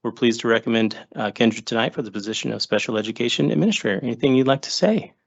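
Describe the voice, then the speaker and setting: A man's voice: medium pitch, very fast, creaky, highs cut off. Joking man at a board meeting mic. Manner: joking asides, chatty and relaxed. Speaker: school district administrator introducing new hires. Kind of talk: informal remarks at a public board meeting. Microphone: table microphone.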